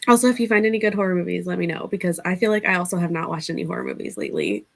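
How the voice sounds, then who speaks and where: Easygoing woman, voice deepened by illness. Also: very fast, gravelly. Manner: relaxed, easygoing, laughs things off. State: recovering from illness, voice deeper than usual. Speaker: book club co-host. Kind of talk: casual group chat on a video call.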